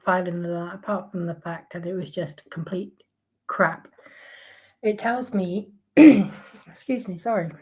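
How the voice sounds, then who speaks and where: Croaky-voiced woman ranting. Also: lowish voice, a little mellow, low-quality recording. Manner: outraged, sarcastic, with frequent fillers and restarts. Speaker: commentary vlogger. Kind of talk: casual ranting commentary.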